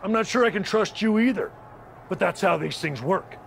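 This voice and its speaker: An actor playing a scheming, condescending wrestling faction leader in a game cutscene, speaking in a measured, stern, gravelly way. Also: male voice, high-pitched, expressive, slight background noise. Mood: calm, persuasive.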